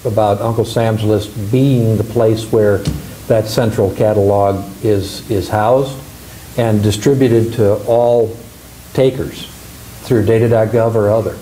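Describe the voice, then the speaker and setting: A man on a conference panel offering, in a measured way, to work together. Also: on the low side, steady tone, mellow, a little hiss or hum, rough recording. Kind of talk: conference remarks.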